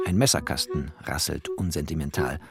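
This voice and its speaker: An actor playing a wry, bookish narrator, a poor postwar settler, in literary first-person narration. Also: male voice, a bass voice, moderate pace, creaky. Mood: wry, matter-of-fact. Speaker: narrator of a literary radio play.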